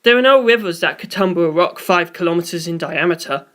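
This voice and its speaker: A man's voice: sits high, expressive, fast, a bit bright. Man narrating a first-person story.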